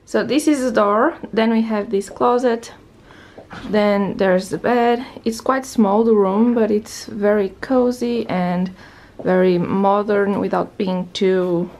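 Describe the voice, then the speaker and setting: Slow-talking Portuguese-accented young woman vlogger. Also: medium pitch, darkish tone. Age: young adult. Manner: chatty, unhurried, easily sidetracked. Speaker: travel vlogger. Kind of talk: casual vlog chat. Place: hotel room and home living room. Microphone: handheld camera at arm's length.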